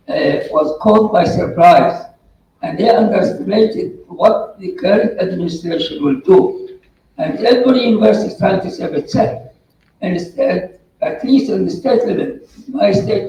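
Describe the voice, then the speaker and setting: A man's voice: sits high, darkish tone, dull audio, a little hiss or hum. A man from the audience giving an earnest comment at a conference, his phrasing non-native, recorded from far off in a hall. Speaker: audience member. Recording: roomy hall, far from the mic.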